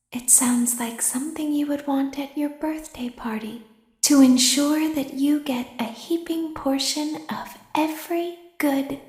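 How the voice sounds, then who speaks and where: A woman voicing an eerie, sweet and melancholy animatronic clown girl in a video game, with a measured, childlike, softly menacing delivery. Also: sits high. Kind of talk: scripted game voice acting, slow monologue. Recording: echoey, long hall-like reverb.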